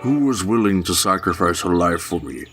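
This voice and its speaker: A man playing a gentle goat-monster king in a dramatic reading, fatherly and grieving, pleading with trembling emotion. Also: deep voice, lively intonation, normal speed, soft background music. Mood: earnest, tearful. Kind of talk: dramatic fan-fiction reading.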